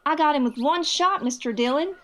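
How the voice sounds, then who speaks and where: Woman as high-pitched eager farm boy. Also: a little fast, slight background noise. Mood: proud, excited. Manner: folksy, excitable. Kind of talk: radio western parody acting.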